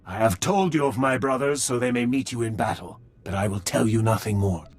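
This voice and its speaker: Husky, solemn alien cult zealot. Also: male voice, mid-range voice, expressive, fairly quick. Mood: defiant. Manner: grave, declamatory, formal. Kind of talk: video game voice acting.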